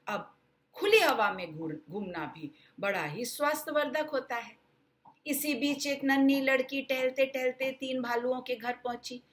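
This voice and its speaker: A woman's voice: sits high, measured pace. An older woman in her sixties telling a children's story with dramatic emphasis, speaking directly to young listeners as host. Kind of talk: expressive bedtime storytelling for children.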